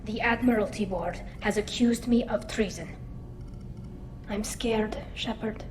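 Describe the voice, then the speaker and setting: A woman's voice: a little slow, close to an everyday voice. A woman voicing an earnest, anxious young female alien crew member in a video game. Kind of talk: video game dramatic dialogue. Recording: echoey, clearly noisy rumbling background.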